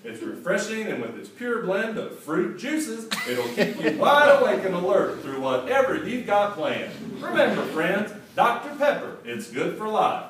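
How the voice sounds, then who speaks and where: A man voicing a folksy old-time male radio announcer pitching soda in a hearty, booming sales-pitch manner, caught from a distance in an echoey hall. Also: sing-song, unhurried, few pauses. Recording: echoey hall, distant mic, faint hiss.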